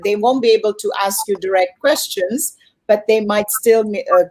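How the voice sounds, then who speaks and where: A woman's voice: medium pitch, normal speed, darkish tone. A woman speaking conversationally as she hosts and moderates a festival question-and-answer session. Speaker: festival host moderating an online Q&A. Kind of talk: conversational event hosting.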